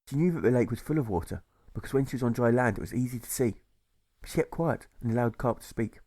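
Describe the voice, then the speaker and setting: Guy narrating an audiobook fable, soft mellow tone, measured and deliberate, very dry sound. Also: mid-range voice, lively intonation, no echo. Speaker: author reading own audiobook. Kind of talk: scripted rhyming fable narration.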